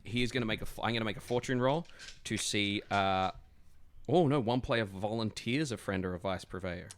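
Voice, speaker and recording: A man running a tabletop roleplaying game as game master, speaking in a halting, encouraging way. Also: on the low side.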